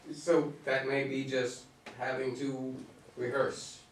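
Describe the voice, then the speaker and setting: A man's voice: mid-range voice, level tone, takes it slowly. A man giving casual feedback in an informal group discussion. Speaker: discussion participant. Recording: very echoey room, distant mic, faint noise.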